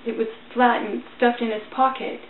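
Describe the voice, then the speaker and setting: A woman's voice: on the high side, level tone, moderate pace. A woman giving a theatrical, emotional dramatic reading as a storyteller. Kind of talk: dramatic reading of a religious allegory. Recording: tinny, band-limited, echoey, faint noise.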